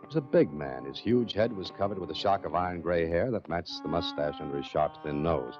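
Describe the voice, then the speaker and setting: Radio lead male actor: cool, wry adventurer-novelist, low voice, dry delivery, dull vintage recording with music. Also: a little fast, darkish tone, close to an everyday voice. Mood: measured narration. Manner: dry, composed, clipped. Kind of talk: 1940s radio acting and first-person narration.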